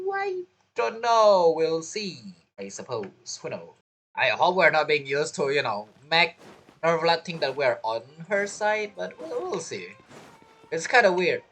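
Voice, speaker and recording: A man's voice: a little high, sing-song, a little slow, pushed and bright, soft background music. A man giving casual gameplay commentary, musing in a rambling, informal way. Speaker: gaming video creator.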